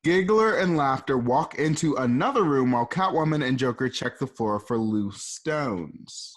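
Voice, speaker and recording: Chatty narrator with a lively voice. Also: male voice, mid-range voice, moderate pace. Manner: loose, chatty, repeats himself. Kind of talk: casual scene narration.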